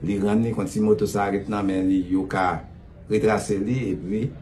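A man in his fifties with a Haitian accent, answering interview questions as an official in an emphatic, deliberate, repetitive way over a video call. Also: fairly low pitch, fairly even intonation, darkish tone. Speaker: police official being interviewed. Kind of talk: interview answers mixing Haitian Creole and French.

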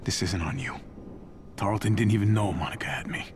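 An actor playing an earnest, weary superhero leader in a video game, restrained and sincere. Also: male voice, lowish voice, fairly quick, background noise, close to an everyday voice. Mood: warm, relieved. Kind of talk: video game cutscene acting.

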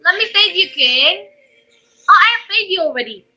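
A young Filipino woman with a high, very bright voice and a Filipino accent, chatting to viewers on her live stream. She talks close to a phone in a small room, and the sound is dull and lo-fi. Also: expressive, moderate pace. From Philippines. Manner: chatty, thanks viewers for gifts, laughs often. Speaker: livestream host. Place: indoor bedroom with string lights. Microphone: phone on a stand, close.

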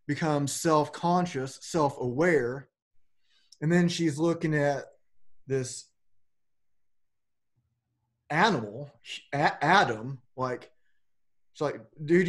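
A man in his forties talking loosely and rambling a little, with casual swearing, as a podcast host on a video call from home. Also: mid-range voice. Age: 40s. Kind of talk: casual philosophical conversation. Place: home room with a plain backdrop. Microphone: webcam on a video call.

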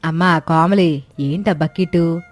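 A woman's voice: on the low side, slow, faint music behind. A woman voicing a bewildered, fretful village mother-in-law who talks in a rustic, colloquial way. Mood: soothing, homely. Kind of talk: animated moral-story voice acting.

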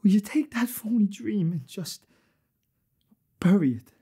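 Desperate adult son acted by a man, high strained husky voice, impassioned family-drama monologue. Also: expressive, measured pace, dark tone, heavily acted. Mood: pleading, tearful. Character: anguished adult son confronting his father, American drama.

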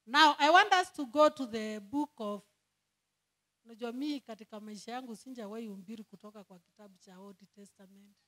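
Kenyan woman preacher, high voice, fervent prayer rhythm, code-switching sermon in church. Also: brisk, pushed and bright. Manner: switches between Swahili and English, fervent prayer cadence.

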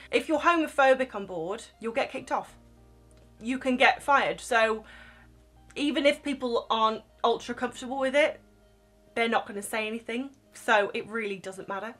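A young woman speaks directly to the camera in a small, plain room, her voice sitting a little high. She is chatty and frank, offering reassuring advice in a relaxed vlog style. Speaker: vlogger giving crew advice.

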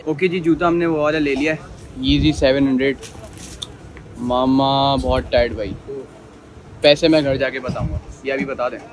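A man's voice: medium pitch, steady tone, normal speed, pauses often, poor audio. A young man from Pakistan chatting and bargaining as he films a casual shopping vlog on a handheld camera in a shoe market. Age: young adult. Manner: chatty, laughing, bargaining with sellers. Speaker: vlogger. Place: crowded shoe market, indoors and street. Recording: busy market crowd behind.

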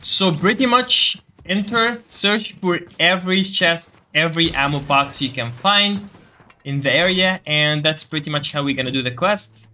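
Bright-voiced man guiding through a game. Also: fairly high pitch, a little slow, slight background noise. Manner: explanatory, addressing viewers directly. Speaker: gaming video creator giving a quest guide. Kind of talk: casual gameplay tutorial commentary.

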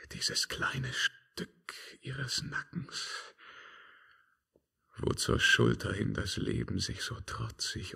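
Brooding emperor, slow and husky. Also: male voice, fairly low pitch, full character voice. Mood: hushed longing. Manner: declamatory, intense verse monologue with hushed drops. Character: tormented, brooding emperor, proud and haunted by memories. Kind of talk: 1950s radio drama acting.

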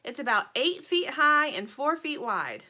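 A woman voicing a little female blue bird, earnest, naive and excitable, in a children's storybook. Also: high-pitched, a little slow, pitched above the performer's own voice, exaggerated voice.

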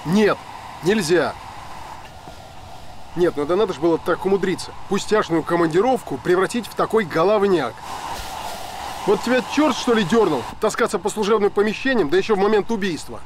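A man's voice: sits high, animated, a little fast, background noise. An actor in his forties playing a stern, exasperated boss figure in a screen drama, scolding bluntly with authority. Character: stern, exasperated stage director scolding young protégé. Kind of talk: naturalistic screen drama acting. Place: outdoors in a city square.

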